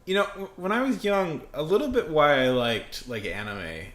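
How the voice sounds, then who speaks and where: A man in his thirties chatting casually on a livestream in a rambling, excitable, self-deprecating way. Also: a little high, moderate pace, echoey room, a little hiss or hum. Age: 30s. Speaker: livestream host.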